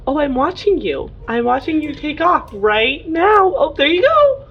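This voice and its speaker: A woman's voice: high-pitched, big pitch swings, measured pace, full character voice. A woman voicing a teasing, nosy spacer in a tabletop game, playful and a little hesitant, on a muffled lo-fi mic. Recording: muffled lo-fi mic with background noise.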